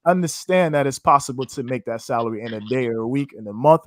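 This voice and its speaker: A man's voice: mid-range voice, fast talker, background noise. A man from Haiti, a trading educator, giving a passionate, preacher-like interview answer in a casual way. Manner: passionate, preacher-like, faith-filled phrasing; casual, with "man" and "you know what I'm saying".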